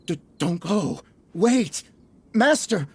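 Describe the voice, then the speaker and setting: An actor playing a pompous, easily outraged merchant villain in a video game, theatrical and indignant. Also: male voice, high voice, lively intonation, slow, slight background noise. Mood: pleading. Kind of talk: video game character acting.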